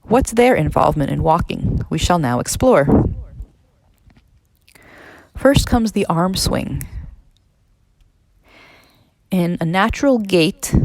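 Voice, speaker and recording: A woman narrating a guided meditation as an instructor, in a measured, instructional way with pauses between phrases. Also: on the low side, a little mellow, no echo. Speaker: neurologist leading a guided meditation.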